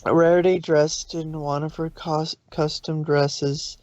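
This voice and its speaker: Woman narrator, medium pitch, expressive story reading, close dry sound, slightly dull audio. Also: takes it slowly, no echo. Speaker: fan fiction narrator. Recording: slightly dull home recording.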